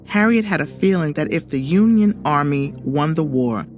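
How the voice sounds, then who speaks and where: A woman's voice: deep voice, lively intonation, moderate pace. A woman reading a scripted story for children as its narrator. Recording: music under the voice. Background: background music.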